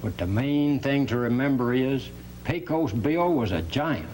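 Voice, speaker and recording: Animated actor as a folksy cowboy storyteller. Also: male voice, mid-range voice, unhurried, lightly acted. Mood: jovial, folksy. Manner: folksy drawl, chuckling, animated. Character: folksy Texan cowboy storyteller, jovial tall-tale spinner. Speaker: guest storyteller. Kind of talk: tall-tale storytelling in an educational radio drama. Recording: hum and faint background noise.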